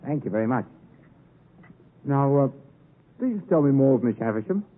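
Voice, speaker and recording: A man playing an earnest, polite young man aspiring to be a gentleman in 1950s radio drama style, on a tinny recording. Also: medium pitch, sing-song, normal speed. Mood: apologetic, curious. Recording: tinny 1950s radio broadcast, faint music.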